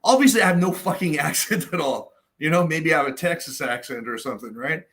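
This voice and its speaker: A man's voice: on the high side, fairly quick, a bit bright. A middle-aged man from Canada in a casual roundtable discussion, talking bluntly with plenty of swearing and fillers. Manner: blunt, profane, storytelling with many fillers.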